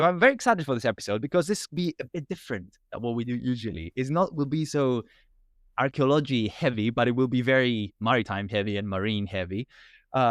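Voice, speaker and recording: A man's voice: mid-range voice, no room sound, studio quality. A man hosting a podcast interview, friendly and enthusiastic. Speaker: podcast host.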